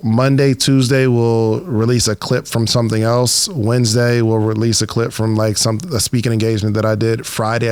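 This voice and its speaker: A man's voice: mid-range voice, vocal fry, dry recording. A man hosting a podcast, interviewing and chatting in a casual, conversational way.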